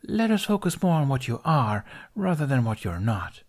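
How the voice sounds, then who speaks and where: A man voicing a serene young Viking maiden for an audiobook, a mystical warden who speaks softly, formally and with knowing amusement. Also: medium pitch, sing-song, moderate pace, pitched above the performer's own voice. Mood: calm, firm.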